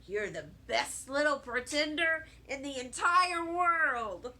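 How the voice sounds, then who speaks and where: A woman's voice: sits high, animated, slow pace, bright, forceful tone, exaggerated voice. A woman voicing a firm, encouraging father of a young girl in a children's storybook. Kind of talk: children's storybook read-aloud.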